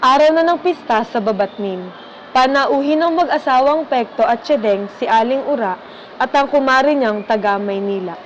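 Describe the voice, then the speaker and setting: A woman's voice: high-pitched, normal speed, highs cut off. A Filipino woman reading scripted narration for a radio drama. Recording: faint background noise.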